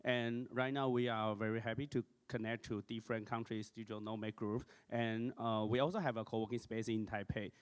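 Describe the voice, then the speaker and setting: Halting man in a reverberant hall. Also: fairly low pitch, flat delivery, clean tone. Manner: halting, many uh fillers. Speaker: association president, panelist. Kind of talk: panel self-introduction.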